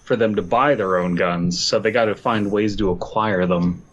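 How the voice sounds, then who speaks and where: A man's voice: on the low side, moderate pace, fairly bright tone. A man co-hosting a podcast, switching between reading a story aloud and casual banter with friends.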